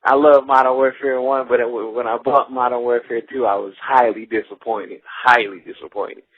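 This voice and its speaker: A man rambles into an answering machine, tripping over repeated words as he goes. His voice is husky and a little raspy. The sound is thin and phone-like, and dry. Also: mid-range voice, normal speed, no room sound. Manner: repeats words when excited, says "you know" a lot. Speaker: caller leaving a voicemail.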